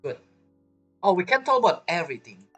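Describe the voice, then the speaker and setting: A woman's voice: lowish voice, big pitch swings, fairly quick, faint music behind. A woman giving casual game commentary as a streamer, chatty and reacting aloud in loose fragments.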